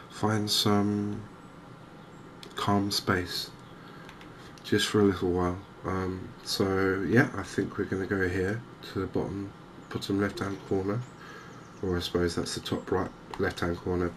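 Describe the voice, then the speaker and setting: Slow, low-voiced English man, softly spoken. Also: slight background noise. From England. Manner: soft-spoken, gentle, unhurried. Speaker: gaming channel host. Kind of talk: softly spoken cozy ASMR game commentary.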